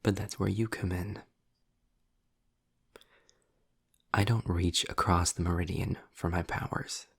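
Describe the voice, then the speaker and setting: A man playing a sarcastic, worldly demon in an intimate audio roleplay, speaking in a low, gravelly, breathy voice with dry, deliberate pauses, often slipping toward a whisper. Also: steady tone, fairly bright tone, heavily acted. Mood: intent, instructive. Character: sarcastic, worldly male demon, trapped, grudgingly explaining.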